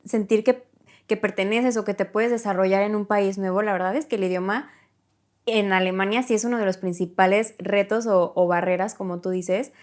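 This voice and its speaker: A woman's voice: mid-range voice, steady tone, normal speed. A woman hosting a podcast interview, friendly and chatty. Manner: friendly, chatty, laughs easily. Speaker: podcast host. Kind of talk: casual conversational interview. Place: recording in Berlin.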